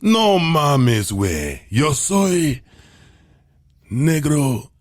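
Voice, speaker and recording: A man voicing a brash retired male wrestler, dropping his deep voice low and barking mock-Spanish taunts. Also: very animated, very slow, heavily acted. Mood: swaggering.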